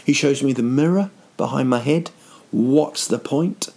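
Man, 30s, dry self-mocking vlog chat, dark mellow tone. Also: mid-range voice, a little slow, gravelly, husky. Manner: dry, rambling, self-mocking, lots of ums. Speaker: vlogger. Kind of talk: rambling comic vlog chat.

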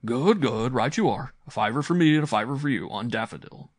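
A man's voice: medium pitch, lively intonation, brisk, no echo, clean studio sound, pitched above the performer's own voice, natural voice. A man playing a jovial, teasing uncle in a story, hearty, amused and a little patronising.

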